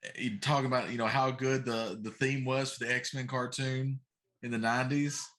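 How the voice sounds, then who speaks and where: A man with a medium-pitched voice co-hosts a casual panel discussion. He speaks conversationally, as one of a group trading opinions. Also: fairly even intonation, moderate pace, a bit bright. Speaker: podcast co-host.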